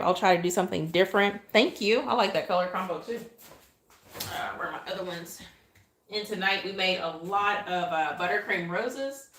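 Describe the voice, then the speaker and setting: Calm soft-spoken Southern woman. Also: medium pitch. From Oklahoma, USA. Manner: chatty, thanks viewers often, asides while working. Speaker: cake decorator live-streaming. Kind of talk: casual livestream chat while piping icing. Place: indoor kitchen counter.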